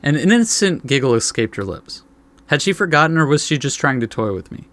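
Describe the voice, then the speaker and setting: A man's voice: on the high side, big pitch swings, a little fast, a bit bright. A man hosting a casual let's play, reading story text aloud and reacting in an informal, laid-back way. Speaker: gaming video host.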